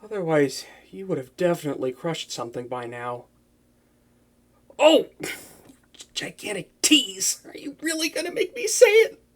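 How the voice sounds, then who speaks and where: A woman playing a nervous, smitten young wizard in an intimate roleplay audio drama, with a stammering, excitable and bookish way of talking. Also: fairly low pitch, moderate pace, long pauses. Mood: tender awe, then flustered, adoring. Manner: flustered stammering, hesitant, breathless enthusiasm.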